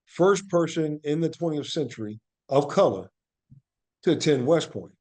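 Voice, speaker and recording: A man's voice: a little high, lively intonation, moderate pace, a little mellow. A man speaking as a guest in a conversational podcast interview, telling things in an earnest, reflective way and repeating phrases for emphasis. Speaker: interview guest, actor and playwright.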